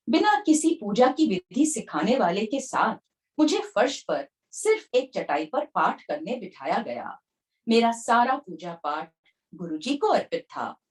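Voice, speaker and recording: Woman reading a devotional book out loud, really animated pitch, mic sounds kinda distant. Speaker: book reader. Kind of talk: reading a devotional memoir aloud.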